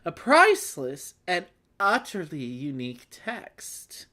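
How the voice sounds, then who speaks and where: A woman acts out a formal, aggrieved museum official from a board game story. The delivery is theatrical and polite, in a low female register with big swings of pitch. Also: wildly swooping pitch, slow pace, sharp tone, heavily acted.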